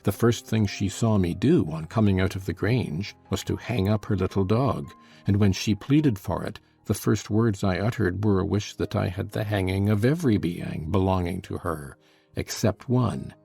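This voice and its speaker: An actor playing a scornful, cruel, brooding husband in a dramatized gothic novel, speaking with deliberate, sardonic contempt. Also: male voice, sits low, gravelly, dark tone, slightly rough, faint music behind, exaggerated voice. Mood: cruel mockery. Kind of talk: dramatized audiobook acting.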